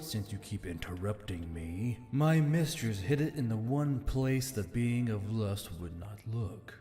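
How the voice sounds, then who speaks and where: A man's voice: fairly low pitch, warm tone. A man playing an ancient, aloof spirit bound in a torque, speaking in formal, archaic storytelling phrases for an audiobook. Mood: exasperated. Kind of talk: scripted audiobook character acting. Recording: long reverb, music behind.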